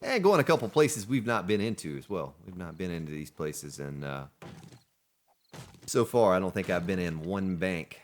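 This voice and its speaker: Low-pitched, animated male let's play host with a chatty, joking delivery and a slight noise floor. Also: normal speed. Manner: chatty, jokes at game characters. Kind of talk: casual gameplay commentary.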